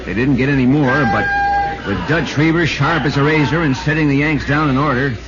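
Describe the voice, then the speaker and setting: A man's voice: mid-range voice, natural voice. A man acting an earnest, insistent baseball reporter in 1940s American radio drama style. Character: earnest, urgent male baseball reporter telling his story. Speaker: narrator and actor in a radio drama. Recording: muffled, noisy vintage radio recording.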